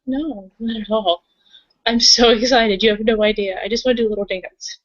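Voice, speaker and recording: A woman playing an outspoken, hopeful, excitable member of a werewolf pack in a tabletop roleplaying game. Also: fairly high pitch, normal speed, dry recording, highs cut off, natural voice. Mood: excited. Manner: emphatic, frank, casual.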